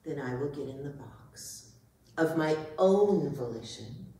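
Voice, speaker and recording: A proud, wary cat, acted by a woman speaks in a low voice with slow, formal phrasing. It is a stage reading, captured at a distance in a very echoey hall. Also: animated, warm tone. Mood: haughty. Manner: haughty, formal, deliberate phrasing. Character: proud, wary house cat, fond of owner and naps. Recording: roomy echoing hall, distant mic, noisy.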